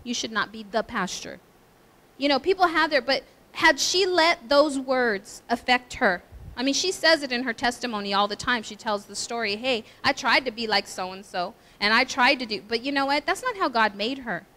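High-voiced woman pastor in a reverberant hall. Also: moderate pace. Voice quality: high, girlish voice. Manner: chatty, animated storytelling with asides. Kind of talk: women's breakfast talk.

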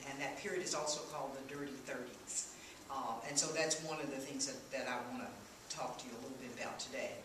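A middle-aged woman, a history lecturer, talking informally through slides to an audience in a meeting room. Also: deep voice. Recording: very echoey room, distant, faint noise.

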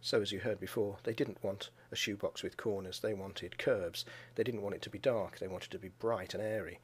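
A man, a school educator, giving a measured conference talk over slides and video, often pausing with "uh". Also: fairly low pitch, steady tone, slightly rough. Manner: measured and explanatory, with frequent "uh" pauses.

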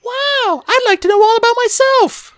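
A woman's voice: very high voice, moderate pace, a bit bright, no room sound, pitched above the performer's own voice. A woman voicing a cheerful, dreamy young female dragon from a storybook, eager and earnest. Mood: excited. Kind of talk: storybook character voice.

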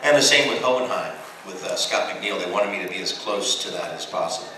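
A middle-aged American man chats casually on stage as a panel guest, acting out his stories. His voice is fairly low, heard through a handheld mic in a very echoey hall, recorded from far off with clear background noise. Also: a little fast. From United States. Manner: animated storyteller, acting out quoted exchanges. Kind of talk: casual anecdotes at a convention panel. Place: large convention hall, on stage. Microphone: handheld mic through PA, filmed from audience.

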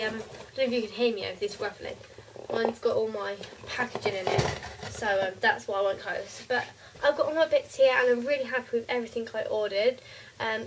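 A teenage girl with a cold and a croaky, stuffy voice, chatting casually through a makeup haul in her bedroom, with lots of ums. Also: a little high, distant mic, a little hiss or hum, poor audio. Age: teens. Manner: chatty, rambling with many ums. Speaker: beauty vlogger. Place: bedroom, indoors.

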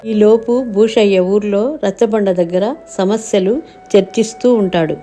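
A woman's voice: mid-range voice, a little slow, warm tone, soft background music. A woman narrating a scripted moral story for children.

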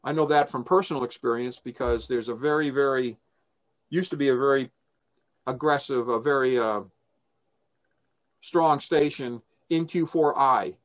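A man's voice: medium pitch, normal speed, pauses often. An older man giving an informal slide briefing over a video call, conversational and enthusiastic in manner. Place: small room indoors, on a video call. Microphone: video call microphone.

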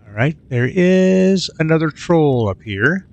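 Easygoing gravelly-voiced man playing a game. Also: on the high side, expressive, slow, a little mellow, lo-fi sound. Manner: easygoing, muttering to himself with filler sounds. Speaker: let's play gamer. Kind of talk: casual gameplay commentary.

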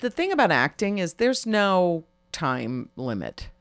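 A woman's voice: a little slow, dry recording. A middle-aged woman, a guest actress, chatting wryly and expressively in an interview. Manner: expressive, wry, swings between high and low tones. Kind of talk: casual interview chat.

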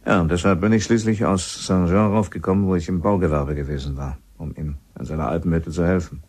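An actor playing a middle-aged, gossipy widowed helper at a mountain lodge, chatting in a rambling, resigned way in a radio play. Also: male voice, low voice, fairly even intonation, a little fast, a little mellow, slightly rough. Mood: matter-of-fact. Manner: chatty, rambling, plain-spoken. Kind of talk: radio play acting, conversational.

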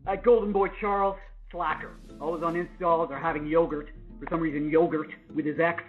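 A man's voice: a little high, steady tone, a little fast, muffled recording, faint music behind, natural voice. A man acting a smug, scheming, self-important store slacker in a pompous, dramatic way at a live comedy read. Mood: gossipy, accusing.

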